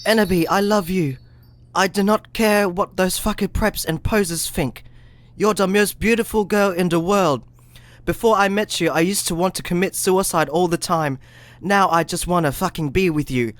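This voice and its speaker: A man's voice: high voice, normal speed, a bit bright, no echo. Man as a dry-sounding lovestruck goth teen boy. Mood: adoring. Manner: earnest, overdramatic devotion. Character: lovestruck brooding teenage goth boyfriend. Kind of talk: comic fan animation voice acting.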